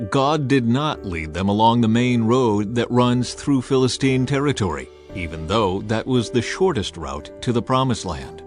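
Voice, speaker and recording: A male narrator reads scripture as dramatized audio. His voice sits in a middle register, and music plays behind the scripted narration. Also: medium pitch.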